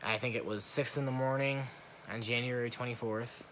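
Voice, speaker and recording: A man's voice: mid-range voice, fairly even intonation, moderate pace, fairly bright tone, slight background noise, low-quality recording. A young man talking casually as he co-tells a personal story on a vlog. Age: young adult. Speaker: vlogger, new father.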